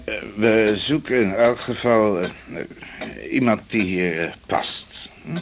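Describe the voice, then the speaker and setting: Older physician, radio drama. Low register, smug, old-fashioned, condescending, stalls on "eh". Slight background noise. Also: male voice, slow. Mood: self-satisfied. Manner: pompous, hesitant with many ehs. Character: smug, conservative, patronizing older male doctor. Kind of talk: 1980s Dutch radio drama acting.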